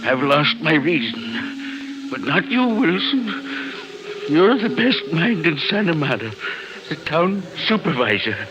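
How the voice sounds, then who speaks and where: A man's voice: a little high, sing-song, takes it slowly, muffled recording. An actor playing a frantic, doubting old man haunted by visions, stammering and repeating himself in an old-time radio mystery style. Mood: troubled, grave. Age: older. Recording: noisy old radio drama recording.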